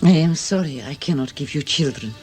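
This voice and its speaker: An actress playing a brisk, teasing Russian wife in a radio drama, blunt and protective, with a Russian accent. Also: female voice, sits low, animated, darkish tone, soft background music. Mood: sorrowful. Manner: blunt, commanding, fondly mocking.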